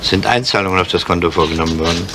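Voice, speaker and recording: Very deep, gruff police inspector. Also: male voice, rapid-fire. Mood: probing. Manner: dry, probing, matter-of-fact. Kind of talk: 1970s German television crime drama acting. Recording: noisy vintage drama soundtrack.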